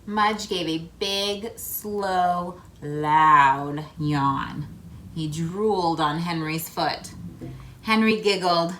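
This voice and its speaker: A woman reading a picture book aloud to children, with expressive emphasis and chatty asides addressed to the listeners. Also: fairly low pitch, drawn-out words, a little hiss or hum. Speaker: read-aloud host for children.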